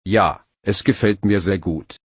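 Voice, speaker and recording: A man reading practice phrases one by one for learners, slow and careful. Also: low voice, slightly rough, no room sound. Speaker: phrase reader.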